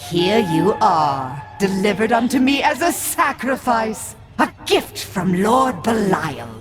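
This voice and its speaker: A woman's voice: mid-range voice, very animated, slow pace, over music. An actress voicing a cruel, scheming witch who leads a cult, in a game cutscene. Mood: gloating. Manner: gloating, theatrical menace. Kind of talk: video game cutscene acting.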